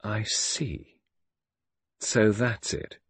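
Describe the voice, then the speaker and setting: A man's voice: on the low side, lively intonation, fast talker, slightly rough, no echo. A man voicing a cold, defiant, scornful young adult daughter in an audiobook, biting and controlled.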